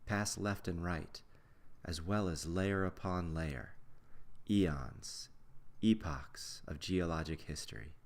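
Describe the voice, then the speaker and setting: A man narrating a scripted guided visualization in a measured, instructional way with deliberate pauses. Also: low voice.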